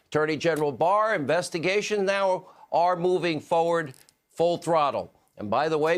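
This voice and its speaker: An American man delivering scripted broadcast news commentary in an emphatic, punchy manner. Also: on the high side.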